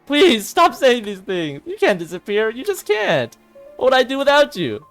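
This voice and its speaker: A man's voice: very high-pitched, huge pitch swings, moderate pace, a bit bright, faint music behind, pitched above the performer's own voice, full character voice. A man voicing a frantic, loyal teenage girl who pleads emphatically in a dramatic visual novel performance. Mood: panicked.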